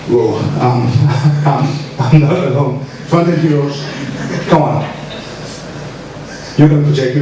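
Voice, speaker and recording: A man's voice: on the high side, darkish tone. A man from Copenhagen with a Danish accent giving an informal, irreverent conference keynote to an audience. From Copenhagen, Denmark. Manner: irreverent, joking, sweary. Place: echoey indoor conference room. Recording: echoey room from far off, faint noise.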